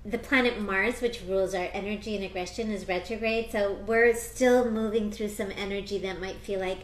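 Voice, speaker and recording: An American woman speaking as an astrologer and tarot reader, chatting casually in an interview with bursts of excitement. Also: medium pitch, echoey room. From United States. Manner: animated, quick bursts of excitement.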